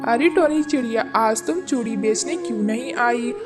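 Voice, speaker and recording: A woman's voice: fairly high pitch, animated, normal speed, voice pushed down, close to an everyday voice. A woman voicing an inquisitive, chatty male parrot in a children's cartoon. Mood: curious, chatty. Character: friendly, inquisitive male parrot, shrewd helper. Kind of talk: scripted children's cartoon story. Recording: music behind the voice.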